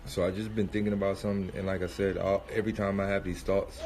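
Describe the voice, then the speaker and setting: A man's voice: low-pitched, flat delivery, fast, heavy background noise. Sniffly man in his thirties, rambling. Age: 30s. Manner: loose and rambling, thinking aloud with many fillers and restarts. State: sniffly with a cold. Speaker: rapper streaming live. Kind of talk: casual livestream monologue.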